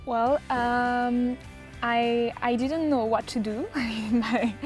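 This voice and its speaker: A woman's voice: a little high, steady tone, slow, soft background music. A young woman from the south of France with a French accent, answering casually, searching for English words and often giggly. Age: young adult. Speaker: exchange student interviewee.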